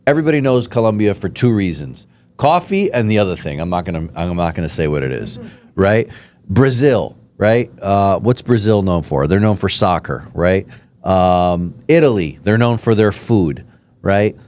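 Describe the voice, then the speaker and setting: Lively, chatty American host. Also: male voice, low voice, moderate pace, slight background noise. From United States. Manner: animated, chatty, many restarts. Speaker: podcast host. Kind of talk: casual interview chat. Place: podcast studio.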